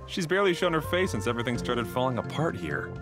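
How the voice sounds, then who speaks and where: A man's voice: medium pitch, very animated, brisk, music in the background, natural voice. A man voicing a laid-back, slangy caretaker in a video game, with a casual, offhand way of talking.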